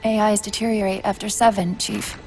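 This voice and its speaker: An actress playing a quick-witted, anxious female military AI companion whose mind is failing, in cinematic game style, wry, urgent and emotive. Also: mid-range voice, flat delivery, a little mellow, soft background music, close to an everyday voice. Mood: defensive, brittle. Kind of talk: cinematic video game voice acting.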